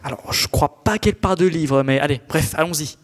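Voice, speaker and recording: A man's voice: fairly high pitch, fairly quick, lightly acted. A teenage boy playing a loyal, plain-spoken squire in a comic audio drama, hesitant and matter-of-fact. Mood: resigned. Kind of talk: comic audio fiction acting. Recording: echoey hall reverb.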